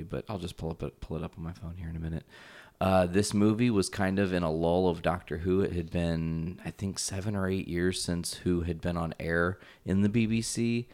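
Low-voiced man hosting a podcast. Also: a little mellow. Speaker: podcast host. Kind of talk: casual podcast chat.

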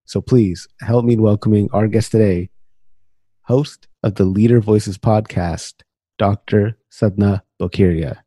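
Deep husky male podcast host. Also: fairly even intonation, unhurried, dark tone, no echo. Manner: upbeat scripted intro, then friendly conversational questions. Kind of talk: podcast interview.

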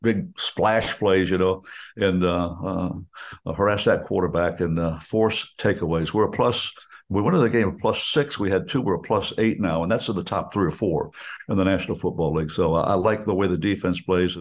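An older man, a radio play-by-play announcer chatting in a folksy, rambling and animated way with lots of fillers. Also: low-pitched, a little fast. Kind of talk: casual radio chat.

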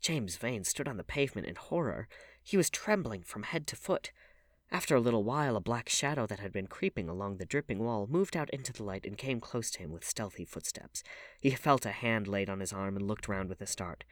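Low-voiced man reading a novel, lively intonation. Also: fairly quick, dry recording, studio quality. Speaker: audiobook reader. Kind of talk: scripted literary narration.

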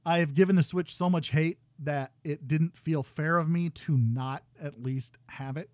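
Man, 30s, podcast host, hoarse, exasperated rant, slightly high voice. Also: moderate pace, no room sound, studio quality. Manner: loud exasperated ranting, swings to quiet muttering. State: losing his voice. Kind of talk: ranting gaming podcast chat.

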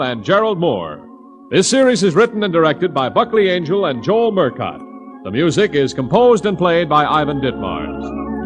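A man's voice: fairly high pitch, fairly bright tone. A man announcing scripted closing credits in 1940s radio style. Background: music.

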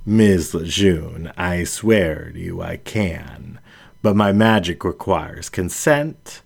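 A man voicing a calm, kindly adult lioness witch in a story reading, speaking in a measured, earnest and gentle way. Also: low voice, creaky, breathy edge, exaggerated voice. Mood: earnest, solemn.

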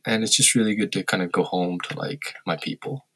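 A man with a low, slightly husky voice talks in a relaxed interview. He is reflective and wry, and turns blunt now and then. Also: brisk. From Korea. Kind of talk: candid conversational interview. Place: lives in Tokyo.

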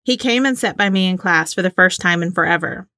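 A woman in her forties with a very bright voice, recorded close and dry. She talks like a casual podcast co-host, informal and giggly, moving between chat and reading aloud. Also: fairly low pitch, a little fast, dry recording. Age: 40s.

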